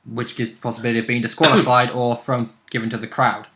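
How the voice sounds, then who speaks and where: A man's voice: medium pitch, flat delivery, fast, fairly bright tone. A man chatting casually as co-host about a tabletop role-play game.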